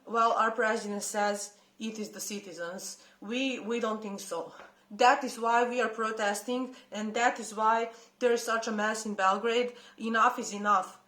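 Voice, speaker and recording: A woman's voice: a little high, a bit bright. Impassioned Serbian-accented woman, roomy echo. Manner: impassioned, halting with many hesitations. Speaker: protest commentator. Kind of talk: unscripted personal statement.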